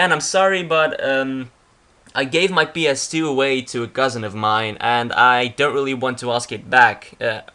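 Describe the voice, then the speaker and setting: A young man with a clear Flemish accent talks casually in English, his second language, with a bright, forward voice. He rambles, restarts his sentences and fills gaps with "uh" and "yeah", at a mid pitch. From Belgium. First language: Dutch. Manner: rambling with frequent restarts and fillers. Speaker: YouTuber. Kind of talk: casual vlog narrating a slideshow.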